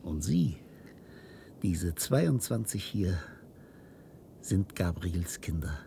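An actor playing a weary, guilt-ridden old inventor in a science-fiction radio drama, halting and theatrical. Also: male voice, low voice, sing-song, vocal fry, a little hiss or hum, heavily acted. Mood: grave. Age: older. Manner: halting, theatrical delivery with hushed passages.

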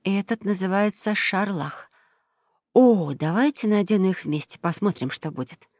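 A woman voicing a playful, thoughtful, gentle mother in a children's storybook. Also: mid-range voice, lively intonation, moderate pace, dry recording, clean studio sound, lightly acted. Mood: musing. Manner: musing, playful. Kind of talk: children's audio storybook character voice.

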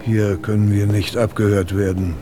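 An actor playing an older, nostalgic Soviet official in a radio drama, speaking with matter-of-fact irony. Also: male voice, flat delivery, normal speed, lightly acted. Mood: wry, reminiscing. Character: wry, nostalgic high-ranking Soviet official, older man. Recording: clear rumbling underground station noise. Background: subway station ambience.

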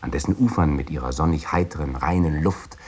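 A man's voice: a bass voice, brisk, a little mellow, slightly rough. An actor playing a florid, courtly nineteenth-century man of letters reciting in a literary radio drama. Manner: flowery, courtly.

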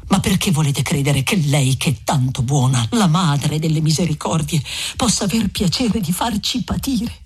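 A woman's voice: deep voice, lively intonation, normal speed, a little mellow, husky, pitched below the performer's own voice, heavily acted. A woman reading an audiobook voices a young male peasant who talks in a hurt, indignant, insistent way. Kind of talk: audiobook reading of a classic novel.

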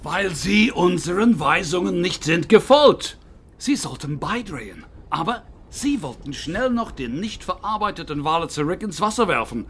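Earnest foreign official, lively highish voice. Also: male voice, slightly rough, full character voice. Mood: stern, earnest. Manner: halting, formal, non-native word order. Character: earnest, hesitant foreign official investigating illegal whaling. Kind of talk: radio drama acting.

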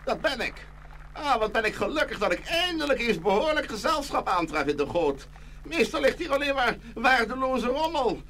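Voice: mid-range voice, normal speed. An actor playing a pompous, self-satisfied male glass shard posing as a diamond in a children's fairy-tale radio play. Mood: pompous, gallant. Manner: gallant, self-satisfied, hesitant when cornered. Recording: hum and steady noise.

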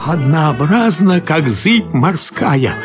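An actor playing an exhausted, self-pitying married civil servant in a radio play, pleading and ranting theatrically. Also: male voice, fairly high pitch, big pitch swings, takes it slowly. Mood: aggrieved ranting. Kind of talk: radio play acting of a classic comic story. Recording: studio radio play with music.

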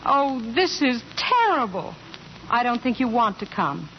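An actress playing an exasperated small-town banker's wife in a 1940s radio comedy drama. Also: female voice, on the high side, big pitch swings, unhurried, slight background noise. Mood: upset, exasperated. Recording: dull 1940s radio broadcast.

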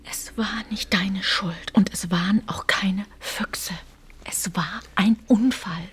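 An actress in a German radio drama playing an anxious, protective farmer's wife with an urgent, pleading, hushed way of speaking. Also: female voice, mid-range voice, steady tone, takes it slowly, husky. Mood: urgent, distressed.

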